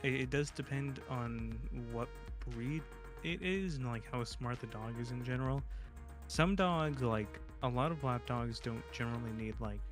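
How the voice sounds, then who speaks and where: A man's voice: mid-range voice, normal speed. A man chatting casually as a guest, rambling with many fillers. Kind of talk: casual chat. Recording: music playing behind.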